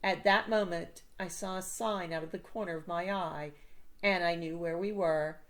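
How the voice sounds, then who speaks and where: Woman doing expressive storybook narration for kids, like a teacher reading out loud. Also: lowish voice, moderate pace. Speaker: teacher reading a children's book aloud. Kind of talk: expressive read-aloud narration for children.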